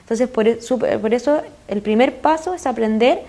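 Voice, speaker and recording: A woman's voice: on the high side, normal speed, mellow. A woman with a Chilean accent, a guest expert in an interview, explaining earnestly with frequent fillers. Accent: Chilean Spanish.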